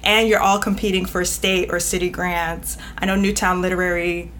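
A woman with a fairly low voice talks through a casual podcast conversation as a guest editor. Her manner is frank and talkative, with thoughts that trail off and restart. A faint hum of noise sits under the recording. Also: flat delivery, normal speed, fairly bright tone.

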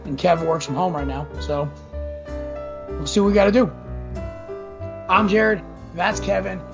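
A hoarse man in his twenties hosting a casual sports podcast, rambling through upbeat plugs and a pep talk. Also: sits high, a little slow, over music. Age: 20s. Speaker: podcast host. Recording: dull lo-fi audio with jazz underneath.

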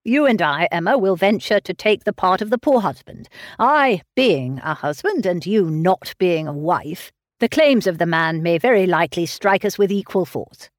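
Sensible gentleman, pleasant and even, read by a woman, close dry sound. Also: on the low side, normal speed, no echo, close to an everyday voice. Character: sensible grown man, pleasant and measured.

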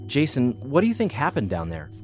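An actor playing an earnest, deferential intelligence analyst in an audio drama, with a slightly hesitant manner. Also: male voice, medium pitch, wildly swooping pitch, fairly quick, soft background music. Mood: intent, curious.

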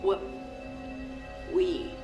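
An actress playing a weary, remorseful senior agent recording a halting, wry confession in an audio drama. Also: female voice, dull audio. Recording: roomy tape recording under music.

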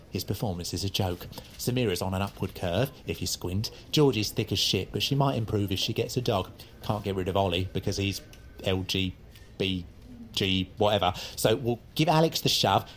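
A man's voice: on the low side, a little hiss or hum, close to an everyday voice. Actor as a smug British male branch manager. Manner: smug, blunt. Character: smarmy, callous male estate agency branch manager. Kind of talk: audio drama acting.

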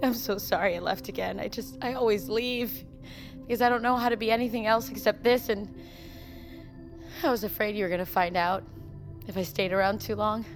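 A woman's voice: mid-range voice, brisk, lightly acted. Audio drama lead: actress as weary woman explorer, wry and guilt-ridden, mid-range voice, music underneath. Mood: tearful, apologetic. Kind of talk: naturalistic audio drama acting.

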